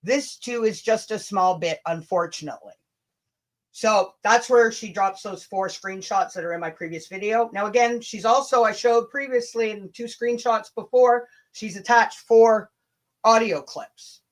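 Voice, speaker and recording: A woman's voice: mid-range voice, a little slow, low-quality recording. Blunt, sweary woman livestreamer. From Canada. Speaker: livestream host. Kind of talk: livestream chat mixed with dramatic reading of emails.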